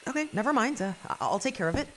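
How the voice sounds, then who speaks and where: A man's voice: extremely high pitch, very animated, racing, a bit bright, exaggerated voice, rough recording. An actor playing a flustered, anxious young male theater technician, stammering and hesitant, in an audio drama. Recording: faint background noise.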